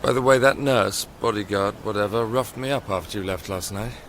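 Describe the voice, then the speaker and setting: A man's voice: lowish voice, brisk, fairly bright tone, a little hiss or hum. An actor playing an educated young Englishman, wry and questioning, in naturalistic radio drama dialogue. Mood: aggrieved.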